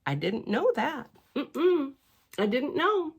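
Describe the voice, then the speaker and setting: Female children's storyteller: slightly high, hugely varied pitch, expressive read-aloud with chatty asides. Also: takes it slowly, creaky. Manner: theatrical and expressive, with gasps and hushed asides.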